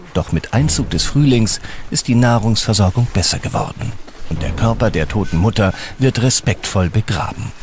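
Low-pitched male narrator, lively intonation. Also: noisy background. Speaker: documentary narrator. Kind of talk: scripted documentary narration. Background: background music.

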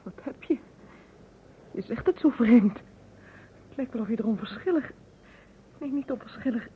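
A woman's voice: on the high side, lively intonation, moderate pace, slight background noise, close to an everyday voice. An actress playing an emotional, reproachful woman in distress in a radio detective drama. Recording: muffled, narrow old radio recording.

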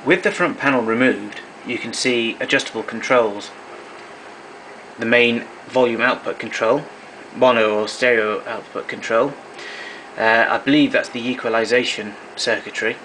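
A man's voice: medium pitch, moderate pace, a bit bright, a little hiss or hum, poor audio. A man giving a matter-of-fact, technical walkthrough of equipment, recorded indoors on a camera microphone. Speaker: hobbyist demonstrator. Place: indoor room at home.

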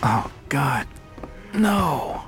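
Troubled, animated investigator, music behind. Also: male voice, a little high, drawn-out words, full character voice. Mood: shaken. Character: troubled, guilt-ridden male investigator, an outsider in town. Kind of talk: video game cutscene acting.

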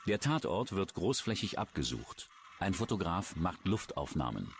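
A man's voice: deep voice, sing-song, normal speed, slight background noise. A man reading scripted true-crime narration as a documentary narrator.

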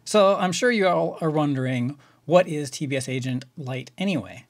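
A man's voice: fairly high pitch, moderate pace, background noise. A middle-aged man with an American accent hosting a casual tutorial, explaining things step by step in a conversational way. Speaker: video tutorial host.

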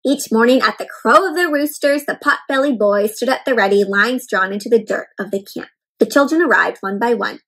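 A young woman with a fairly high, husky-edged voice reads a story aloud for children. She is expressive and emphatic, slowing on key words and stepping out of the narration like a teacher to ask questions and explain. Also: low-quality recording. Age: young adult. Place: indoor room at home.

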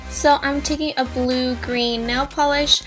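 A woman's voice: high voice, steady tone, unhurried. Bubbly young woman talking over music. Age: young adult. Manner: bubbly, upbeat, step-by-step instructions. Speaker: DIY vlogger. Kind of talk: casual tutorial voice-over. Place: indoors in front of a brick wall. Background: background music.

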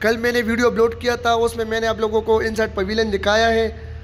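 A man's voice: very high-pitched, fast. A man walking through a stadium construction site, steadily narrating updates and pointing things out to viewers. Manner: steady informal commentary, repeats "you can see". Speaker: vlogger giving site updates. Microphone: handheld phone camera. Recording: long reverb, faint site noise.

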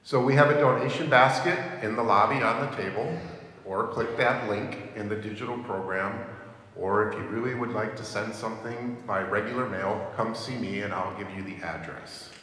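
A middle-aged man reading announcements from a sheet on a concert hall stage in a measured, informative way. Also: lowish voice, pushed and bright. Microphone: handheld mic on a stand. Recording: roomy concert hall reverb, faint hiss.

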